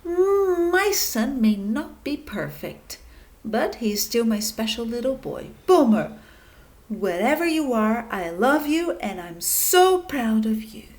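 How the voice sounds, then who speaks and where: A woman's voice: fairly high pitch, sing-song, slow, darkish tone. A woman voicing a loving, proud mother pig, tender and declaring, in a children's picture book read-aloud.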